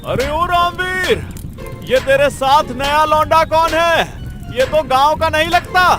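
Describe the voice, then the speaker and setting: A man voicing a hot-tempered village blacksmith in a cartoon story, complaining loudly and indignantly in drawn-out words. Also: extremely high pitch, lively intonation, over music, full character voice. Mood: suspicious, gruff.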